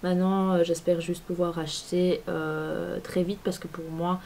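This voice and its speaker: Woman, 20s, lowish voice, chatty vlogger, lots of hesitations, light background hiss. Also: little pitch movement, unhurried, a little mellow. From Belgium. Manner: chatty, many fillers and restarts. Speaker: beauty vlogger. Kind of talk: casual talk to camera. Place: apartment at home.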